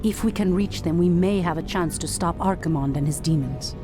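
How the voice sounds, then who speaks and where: An actress playing a proud, resolute night elf warrior priestess in a fantasy game, speaking formally and firmly. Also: female voice, lowish voice, brisk, lightly acted. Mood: urgent, earnest. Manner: formal, earnest, firm dramatic delivery. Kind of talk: dramatic fantasy game acting. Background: loud music.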